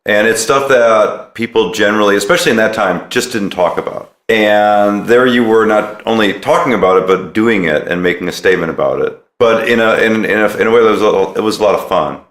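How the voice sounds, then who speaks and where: A man's voice: on the low side, fairly quick, few pauses, fairly bright tone. A man chatting casually as a podcast host interviewing a guest. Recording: slightly roomy interview recording.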